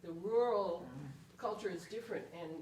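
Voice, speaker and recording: A woman explaining things thoughtfully to an audience, with hesitant, measured phrasing and frequent pauses. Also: mid-range voice, darkish tone. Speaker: panel speaker and performer. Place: indoor room at a public library event. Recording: roomy, distant mic, faint noise.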